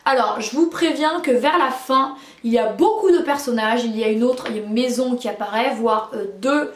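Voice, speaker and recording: A woman's voice: a little high, moderate pace, echoey room, slight background noise. A French-speaking woman reviewing books in casual, chatty talk, full of fillers and restarts. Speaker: book reviewer. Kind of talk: casual book review chat.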